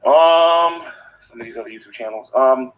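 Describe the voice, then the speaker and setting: A man's voice: mid-range voice, moderate pace, poor audio. A man in a played clip, accusing other channels in a preachy tone. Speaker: YouTube commentator in a played clip.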